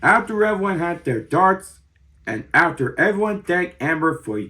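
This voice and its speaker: A man in his thirties reads a children's story aloud. His voice sits slightly high and moves in very lively rises and falls, as an expressive storyteller's does. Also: normal speed. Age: 30s.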